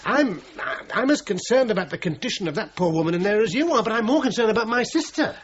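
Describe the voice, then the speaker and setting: An actor playing an anxious, insistent brother in a radio crime drama, stammering and emphatic. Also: male voice, high voice, expressive, quick pace, breathy edge, heavily acted. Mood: agitated, defensive. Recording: dull lo-fi audio, loud noise.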